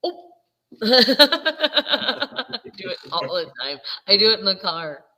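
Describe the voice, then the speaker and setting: A woman with a Minnesota accent co-hosting a casual chat, chatty and quick to laugh. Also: mid-range voice, very animated, pushed and bright, long reverb tail. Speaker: co-host.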